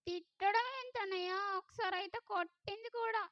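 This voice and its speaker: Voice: extremely high pitch, brisk, sharp tone, no echo, muffled recording. A performer voicing Dumbu, a curious boy puppet in a kids' puppet show, chatty and eager.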